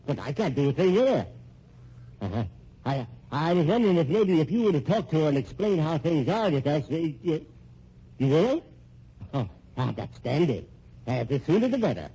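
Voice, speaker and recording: An actor playing a smug, scheming husband in an old-time radio drama, full of stammering, mock-genial courtesy. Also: male voice, on the high side, very animated, normal speed, mellow, husky, heavily acted. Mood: mock sweet. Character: scheming, smug, sardonic husband playing everyone against each other. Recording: muffled, noisy old radio recording.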